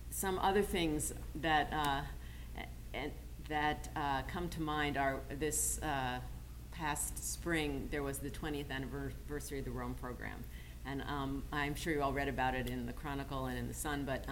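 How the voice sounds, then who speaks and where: A very low-voiced older woman gives an informal tribute speech. She speaks haltingly, with many fillers and restarts. Steady background noise runs clearly underneath her voice. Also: low-quality recording. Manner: warm, halting, full of fillers.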